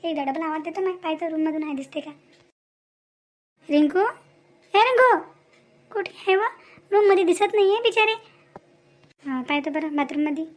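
Woman acting a fretful, high-pitched Marathi village mother. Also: expressive, moderate pace, pauses often. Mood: calling out. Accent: Vidarbha Marathi. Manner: grumbling, folksy, fretful. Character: overworked, fretful village mother of a grown daughter. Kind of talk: amateur cartoon dialogue.

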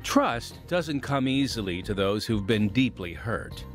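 A man's voice: on the low side, lively intonation, a little slow, faint music behind. A man reading scripted narration and announcements as the host of an audio drama podcast.

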